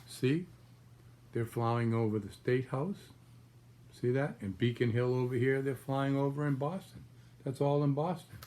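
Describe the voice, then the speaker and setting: An older man in his sixties reads a picture book aloud at a very unhurried pace. His voice sits at an average male pitch. He pauses often and talks to young listeners about the pictures as he goes. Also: warm tone. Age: 60s. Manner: unhurried, pausing, explaining pictures to small children. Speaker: grandfather reading aloud to grandchildren. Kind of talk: children's storybook read-aloud with asides about pictures. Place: small room at home, indoors.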